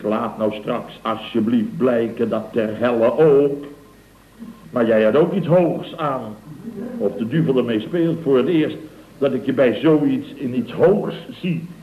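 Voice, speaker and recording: A man's voice: medium pitch, natural voice. Man acting a blustering, stammering boss, dull roomy recording. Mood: sarcastic, aggrieved. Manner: stammering, repeating himself, grumbling. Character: flustered, blustering male building contractor boss, middle-aged. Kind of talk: comic radio drama acting. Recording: dull, roomy old radio recording with hiss.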